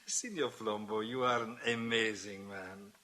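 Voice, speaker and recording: An actor playing an imperious, jovial Italian prince with an Italian accent and an effusive, commanding manner in a radio drama. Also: male voice, big pitch swings, a little slow, bright, forceful tone, heavily acted. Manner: booming, effusive, commanding, often laughing.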